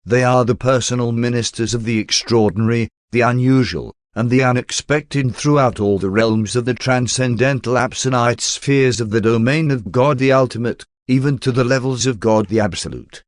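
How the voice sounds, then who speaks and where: A man narrates in a formal, measured way, like an audiobook of scripture. His pitch sits in a middle range, and the sound is dry with no room around it. The delivery is solemn and carefully scripted. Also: dry recording. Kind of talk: scripted narration of a spiritual text.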